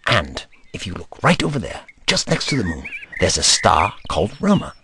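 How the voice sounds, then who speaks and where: A man's voice: deep voice, wildly swooping pitch, moderate pace, bright, forceful tone, slight background noise, exaggerated voice. A man playing a kindly, whimsical, eccentric Doctor in an audio drama, with a theatrical, courtly manner. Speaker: voice actor.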